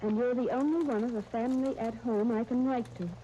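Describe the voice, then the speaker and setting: Radio serial niece, played by an actress: young, encouraging, earnest, higher-pitched and soft-toned, on a hissy 1939 disc. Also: female voice, steady tone, brisk, close to an everyday voice. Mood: absorbed, excited. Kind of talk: 1930s radio serial acting. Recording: muffled, noisy 1939 radio transcription disc.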